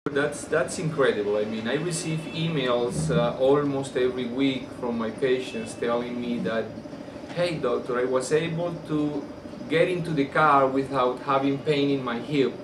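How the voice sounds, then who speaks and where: A man from Colombia, a native Spanish speaker with a Colombian accent, answers interview questions as a surgeon in halting phrases with repeated words. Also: mid-range voice. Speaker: orthopedic surgeon being interviewed. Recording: roomy, distant mic, air-conditioner hum.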